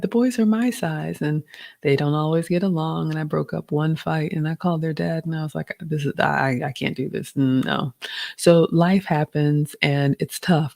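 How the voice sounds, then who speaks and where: Slightly raspy woman chatting on stream. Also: low voice, darkish tone, dry recording, clean studio sound. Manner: chatty, reads viewer questions aloud. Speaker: live stream host. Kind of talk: casual live stream Q&A reading chat.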